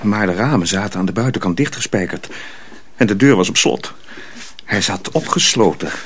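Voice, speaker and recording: A man's voice: lowish voice, animated, normal speed, fairly bright tone, slight background noise. A man narrating a radio drama from a script as a storyteller.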